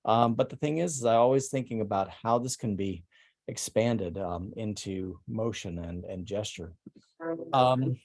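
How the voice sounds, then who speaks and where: A middle-aged man presenting his artwork at an online salon, speaking haltingly with many ums and restarts. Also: on the low side, a little mellow. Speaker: presenting artist. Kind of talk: online salon artist talk.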